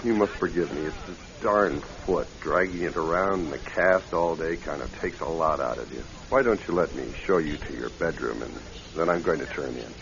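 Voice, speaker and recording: An actor playing a brooding, weary man haunted by a lost love in a radio drama, speaking in a halting, distracted, wistful way. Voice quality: low, gravelly. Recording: dull lo-fi radio recording, faint noise.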